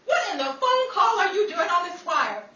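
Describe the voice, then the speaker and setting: Woman acting a high, flustered 1930s showgirl. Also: sing-song, normal speed. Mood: exasperated. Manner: stammering, exclamatory, theatrical. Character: flustered, wisecracking 1930s New York showgirl and newscaster. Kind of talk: old-time radio comedy acting. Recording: noisy, echoey, distant microphone.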